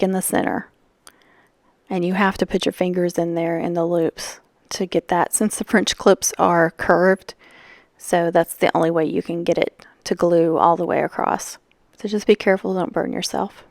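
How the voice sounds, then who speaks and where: A woman's voice: on the low side, a little mellow, no echo, studio quality. A woman with a scratchy voice talking casually through a craft tutorial, rambling and chatty with lots of fillers. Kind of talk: casual voice-over while crafting.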